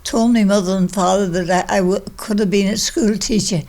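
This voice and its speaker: An elderly woman of about ninety with a Manx accent reminisces casually. She is plain-spoken and emphatic, often repeating her short answers, at an ordinary pitch. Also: steady tone, a little fast, a little mellow. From Isle of Man. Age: 90s. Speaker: interviewee recalling childhood.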